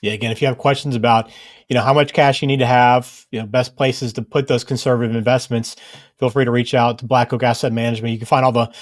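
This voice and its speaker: A man's voice: medium pitch, little pitch movement, fast talker, no room sound. Dry-sounding male podcast co-host. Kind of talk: scripted podcast segues.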